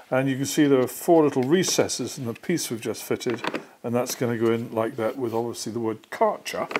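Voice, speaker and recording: A man's voice: mid-range voice, a little mellow. Patient older British man, noisy recording. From Britain. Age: 60s. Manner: patient, step-by-step explaining. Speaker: workshop presenter. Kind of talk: hands-on assembly tutorial. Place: indoor workshop.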